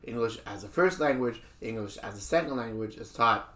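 A man with a medium-pitched voice talks as a podcast host. He explains things in a casual, conversational style and often repeats a phrase to underline it. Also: expressive, brisk, fairly bright tone. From born in a country outside Israel.

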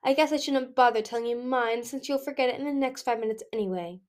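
Woman voicing a bubbly, high-pitched cheeky young female dragon. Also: fast talker, close to an everyday voice. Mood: playful. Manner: teasing, exclamatory, dramatic.

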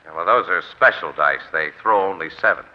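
A man acting a sharp male amateur detective, very quick speech, clipped questions, vintage radio drama, muffled broadcast. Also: low voice, noisy background, lightly acted. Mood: probing. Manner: clipped, pressing. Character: sharp, wisecracking male crime sleuth. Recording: dull, band-limited old radio broadcast.

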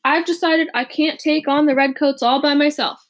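A woman voicing an eager, intense young male inventor in an audiobook, earnest and quick to rally. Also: high voice, steady tone, voice pushed up, close to an everyday voice. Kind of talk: audiobook character reading.

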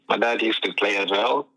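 A young man from Uganda, a pro soccer player telling his story in a relaxed interview, full of "you know" fillers, heard over what sounds like a phone line. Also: on the low side, unhurried. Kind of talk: casual interview chat.

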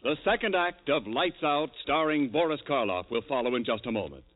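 A man's voice: medium pitch, expressive, fairly quick, over loud music. A male radio announcer in a 1940s advertising style, with a punchy sales delivery. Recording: tinny old radio broadcast.

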